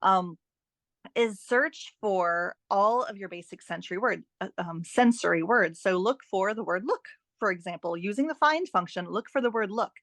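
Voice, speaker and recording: A woman's voice: mid-range voice, expressive, normal speed, fairly bright tone, dry recording. A woman speaking as a guest expert in a podcast interview, giving practical advice in an emphatic, encouraging way and repeating phrases for stress. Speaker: guest author and fiction editor.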